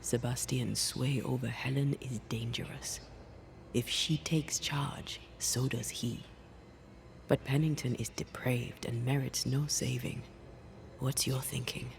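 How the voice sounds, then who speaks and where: Hushed, creaky-voiced ghostly companion. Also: female voice, rumbling low, fairly even intonation, slow pace, a little hiss or hum, exaggerated voice. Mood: conspiratorial. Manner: hushed, confiding, blunt. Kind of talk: dramatic game cutscene acting. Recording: music clearly behind the voice.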